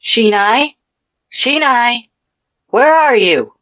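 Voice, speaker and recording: A woman voicing an earnest, commanding female team leader in an adventure story, measured and solemn. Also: a little high, expressive, fairly bright tone, pitched above the performer's own voice, heavily acted. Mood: calling out, worried.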